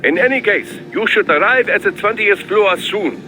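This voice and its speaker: An actor playing an eager, fussy scientist with a German accent, giving precise, theatrical mission advice in a video game. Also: male voice, sits high, expressive, moderate pace, over music, low-quality recording. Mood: explanatory. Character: excitable, fussy European male scientist mission adviser. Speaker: mission support over radio.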